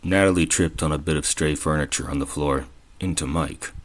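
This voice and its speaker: A man's voice: extremely low pitch, fairly quick. A man narrating an audio drama in the third person.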